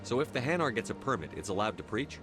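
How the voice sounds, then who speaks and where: A man playing a calm, direct military commander in a video game, speaking firmly and levelly. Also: mid-range voice, quick pace, over music, close to an everyday voice. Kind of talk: game voice acting.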